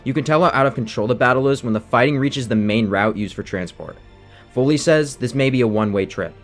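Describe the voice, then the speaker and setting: Male narrator, brisk delivery, scripted game analysis, soft music underneath. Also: medium pitch. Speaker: video essay narrator.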